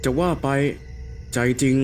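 A man voicing a calm, compassionate, philosophical character in an animated ghost story, speaking in a measured, reflective way. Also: soft background music, lightly acted.

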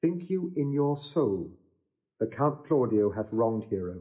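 An actor playing an earnest gentleman lover in a classical Shakespearean stage style. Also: mid-range voice, big pitch swings, measured pace, highs cut off. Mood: resolute. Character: Benedick, earnest gentleman lover turning resolute.

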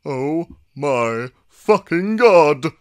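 A man's voice: fairly high pitch, expressive. Man doing an overdramatic teenage goth girl. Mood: exasperated, shrill. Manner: drawn-out, exaggerated, indignant.

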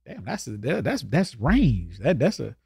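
A man's voice: medium pitch, wildly swooping pitch, unhurried, gravelly, dark tone, breathy edge, no echo, studio quality. A man co-hosting a podcast in casual, slangy, swearing chat, often jumping in with interjections. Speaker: podcast co-host. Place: indoor podcast studio. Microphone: close studio microphone on boom arm.

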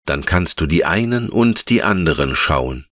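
A man voicing a wise, gentle female elf in a fairy-tale audiobook, speaking in a measured, patient and tender way. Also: sits low, expressive, no room sound, studio quality. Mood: solemn, tender. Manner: measured, patient, lyrical explaining.

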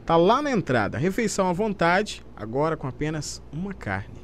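A man's voice: fairly high pitch, sing-song, moderate pace, a bit bright, slight background noise. A man with a Brazilian accent narrating a scripted TV news report.

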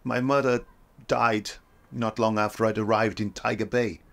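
An actor playing a calm older mentor who gently encourages a young woman to chase her dream. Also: on the low side, normal speed, vocal fry. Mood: encouraging.

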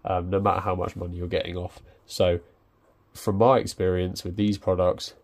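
A man's voice: low-pitched, level tone, normal speed, creaky. A young man talking casually through an unboxing at his desk, hesitant with many ums and restarts. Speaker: tech reviewer. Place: indoors at a desk.

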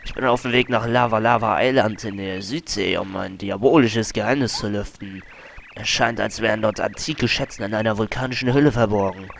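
A man's voice: on the low side, a little fast, creaky, slight background noise. Guy doing a smug boastful explorer from a game, puffed up and drawn out. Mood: pompous. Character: pompous male explorer archaeologist, boastful. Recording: faint game music behind.

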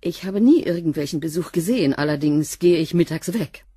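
A cleaning woman in a radio drama, unfazed and curt. Her voice sits fairly low and sounds husky, and she gives blunt, laconic replies in a dry recording. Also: animated, brisk, warm tone, no echo. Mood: matter-of-fact. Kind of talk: German radio crime drama acting.

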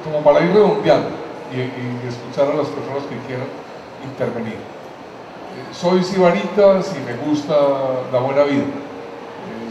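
A man moderates a public talk, calmly summarizing points and inviting questions from the audience. His voice comes from far off in a big, echoing hall with long reverb and clear background noise. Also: medium pitch.

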